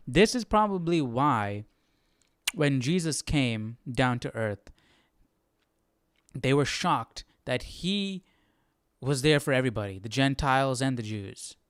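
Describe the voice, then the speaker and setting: A man with a smooth, clear voice comments casually on a reading, recorded close and dry. He speaks informally and haltingly, working out his thoughts aloud as a Bible study host. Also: medium pitch, no room sound. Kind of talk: casual reflective commentary while following a reading.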